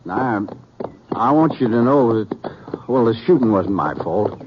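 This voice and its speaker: Actor as anxious graying fugitive, muffled hissy radio. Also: male voice, mid-range voice, expressive, unhurried, close to an everyday voice. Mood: anxious, pleading. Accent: American. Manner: hesitant, nervous, pleading. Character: tired, anxious little graying wanted man. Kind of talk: 1950s western radio drama acting.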